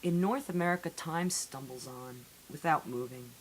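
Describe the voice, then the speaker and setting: A woman reading poetry aloud in a solemn, deliberate way with measured phrasing and dramatic pauses, on an amateur recording. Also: sits low, poor audio. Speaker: poetry reader. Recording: amateur recording with audible hiss.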